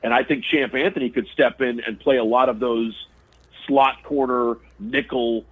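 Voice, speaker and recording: A man's voice: medium pitch, steady tone, normal speed, slightly rough. A male radio sports broadcaster, a guest on a sports talk show, who breaks down teams and players analytically and restarts and repeats words often. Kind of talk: casual sports talk interview. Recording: tinny phone line.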